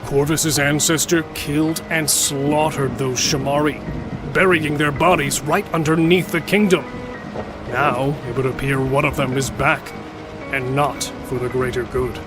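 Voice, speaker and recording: A man playing an irreverent smith and lore keeper explaining dark history in a fantasy audio drama. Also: fairly high pitch, fairly even intonation, a little slow, music in the background, close to an everyday voice. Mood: ominous.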